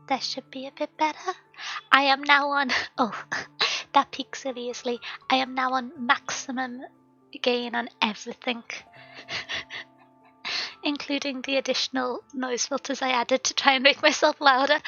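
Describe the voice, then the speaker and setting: Woman streamer, soft-spoken, slightly hoarse; high, bright tone, faint background music. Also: dull audio. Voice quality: softly spoken. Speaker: game streamer. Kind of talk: casual live-stream chat while gaming. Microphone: desk microphone at home.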